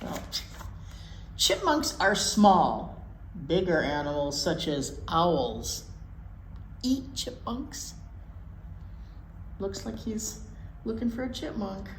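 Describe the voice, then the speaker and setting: A woman hosts a children's read-aloud with very lively, swooping intonation. She mixes simple reading with folksy, informal explanations and repeats words for emphasis. The room is echoey, with some faint noise. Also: mid-range voice, takes it slowly, pauses often. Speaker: children's storytime presenter.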